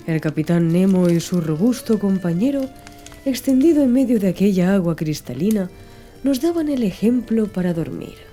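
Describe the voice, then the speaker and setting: A woman's voice: medium pitch, mellow. A woman reading a classic adventure novel as a scripted audiobook narration. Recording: music bed under the voice. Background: background music.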